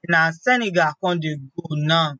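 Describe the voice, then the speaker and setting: A woman's voice: sits low, measured pace, pushed and bright, dry recording, muffled recording. A woman with a Nigerian accent hosting a morning radio show, chatty and playful, with many "eh" fillers. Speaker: radio host. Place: radio studio. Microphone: studio microphone.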